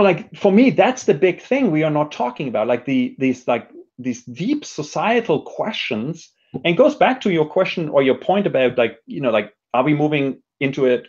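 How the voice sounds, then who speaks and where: A man's voice: a little high, darkish tone, husky, dull audio. A man giving thoughtful interview answers, reflective and hesitant, often restarting his sentences as he works through an idea. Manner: reflective, hesitant, frequent self-restarts and hedging. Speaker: interview guest, technology educator and speaker.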